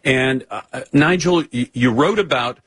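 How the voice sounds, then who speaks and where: A man's voice: mid-range voice, a little slow, fairly bright tone, husky. A man hosting a call-in radio talk show, pressing callers hard with pointed questions and cutting in.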